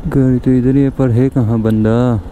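A man's voice: mid-range voice, steady tone, normal speed, mellow, poor audio. A young man chatting casually to viewers as he rides his motorbike at night, recorded on a helmet camera. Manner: chatty, talks to viewers while riding. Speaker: motorcycle vlogger. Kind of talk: casual vlog narration while riding. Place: outdoors on a motorbike on a road at night. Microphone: helmet-mounted action camera. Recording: road and engine noise outdoors.

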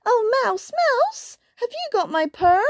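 A woman's voice: extremely high pitch, sing-song, takes it slowly, noisy background, voice pushed up, heavily acted. A woman voicing a tearful, anxious little kitten from a storybook, with a plaintive, wailing manner.

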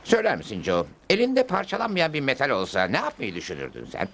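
An actor playing a calm, wry, polite cartoon cowboy hero with a cool, dryly ironic delivery. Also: male voice, medium pitch, quick pace, vocal fry, heavily acted. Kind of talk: cartoon dubbing. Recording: music behind the voice.

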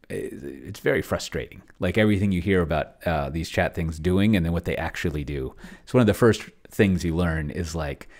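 Middle-aged man, low-pitched voice, casual screen-share host, offhand, rambling, close desk mic. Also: a little mellow. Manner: rambling, self-interrupting, offhand. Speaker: host of a tabletop roleplaying tech channel. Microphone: desk microphone close to the mouth.

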